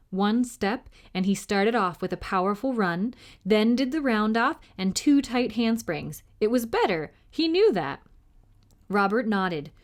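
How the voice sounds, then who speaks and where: A woman reading a children's novel aloud as narrator for a library storytime. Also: mid-range voice.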